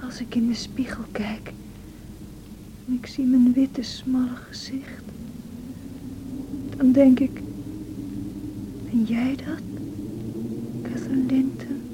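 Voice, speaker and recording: A woman's voice: high-pitched, level tone. A woman playing a tempestuous, self-pitying young married woman in a radio drama, theatrical and emotional. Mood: desolate, whispery. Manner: theatrical, emotional, slow and deliberate. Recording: noisy old radio drama recording.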